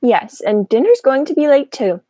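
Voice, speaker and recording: A young woman acting a sweet, fussy, chatty elderly aunt with a genteel manner in a high school radio play. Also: sing-song, normal speed, mellow, no room sound. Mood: cheerful, fussy. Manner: genteel, fluttery, coaxing.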